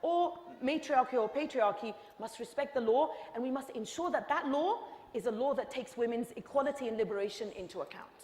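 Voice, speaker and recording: A woman's voice: on the high side, brisk, hardly any pauses, a little mellow. Impassioned woman in a reverberant hall. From Egypt. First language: Arabic. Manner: impassioned, rhetorical repetition. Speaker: author and panel speaker. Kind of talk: lecture Q&A answer.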